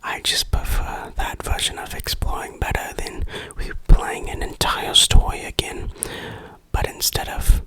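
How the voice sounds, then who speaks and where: A person whispering an informal, opinionated ASMR game review in a breathy, unvoiced voice. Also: a little slow, rough recording.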